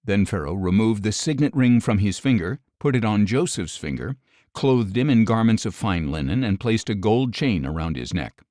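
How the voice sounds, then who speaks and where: A man narrating scripture from a script as an audiobook, in a measured, solemn way. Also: lowish voice, animated, darkish tone, no echo, studio quality.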